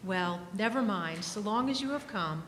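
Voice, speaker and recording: A woman's voice: mid-range voice, expressive, moderate pace, a bit bright, lightly acted. A woman playing a bustling, affectionate Victorian mother in a live dramatic reading. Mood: fussing, affectionate. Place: live in a church. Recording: echoey hall, faint noise.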